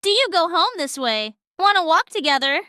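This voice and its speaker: A woman's voice: very high-pitched, big pitch swings, moderate pace, bright, forceful tone, dry recording, studio quality, exaggerated voice. A woman voicing a chatty, self-assured schoolgirl in a manga dub. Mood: bubbly, matter-of-fact.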